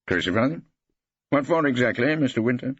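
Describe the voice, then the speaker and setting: A man's voice: medium pitch, animated, brisk, fairly bright tone, husky, no echo, lo-fi sound, pitched above the performer's own voice. A man voicing an irreverent, ageing English barrister in an audiobook, pompous, ironic and mock-incredulous.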